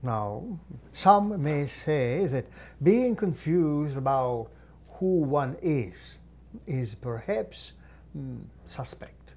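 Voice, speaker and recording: A man channeling a guide entity, teaching in a measured, formal way with long pauses and thoughtful hums. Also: on the high side, animated, a little mellow. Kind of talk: slow deliberate channeled teaching.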